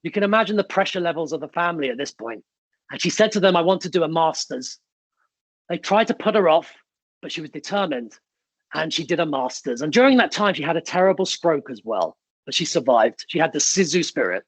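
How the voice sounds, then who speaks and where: Man, raised in the UK with Italian as first language; high-leaning, dry-miked motivational keynote speaker who tells stories with emphasis. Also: animated, a little fast, no room sound, low-quality recording. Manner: emphatic, storytelling, punchy short phrases.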